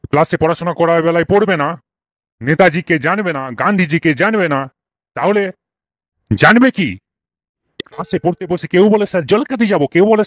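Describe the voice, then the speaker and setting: A man playing a cranky old schoolmaster in a comic cartoon, scolding and ranting with exasperated, theatrical rhetorical questions. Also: on the high side. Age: older.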